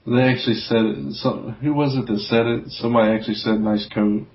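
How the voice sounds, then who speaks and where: A man's voice: medium pitch, steady tone, a little fast. A man co-hosting a podcast, giving his opinions in casual chat, recorded with dull, lo-fi sound. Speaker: comics podcast co-host.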